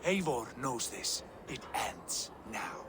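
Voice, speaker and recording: A man's voice: medium pitch, sing-song, takes it slowly. A man playing a proud, hearty Viking prince in a video game, bold and declamatory in his speech. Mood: vengeful, resolute. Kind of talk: cinematic video game acting. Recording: clear noise behind the voice.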